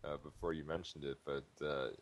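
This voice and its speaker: A man's voice: rumbling low, level tone, fairly quick. A man talking casually as a gaming podcast co-host, rambling and repeating himself as he reacts.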